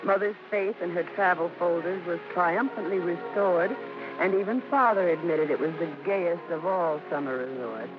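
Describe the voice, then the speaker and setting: Fond storyteller, read by a man, very high pitch, music underneath, vintage radio. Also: normal speed, natural voice. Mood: fond reminiscing. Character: grown child fondly recalling the family. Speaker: narrator. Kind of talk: scripted storytelling in 1950s radio drama. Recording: dull old radio recording over music.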